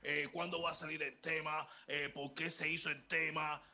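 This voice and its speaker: Gravelly raspy co-host guy, sharp bright voice, pushes questions. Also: on the high side, flat delivery, moderate pace. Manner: pushy questions. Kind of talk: casual studio talk.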